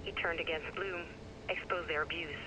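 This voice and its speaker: An actress playing a worried, sceptical young hacker ally in a video game, questioning and cautioning. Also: female voice, lowish voice, steady tone, normal speed, natural voice. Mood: cautious doubt. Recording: phone call over loud car noise.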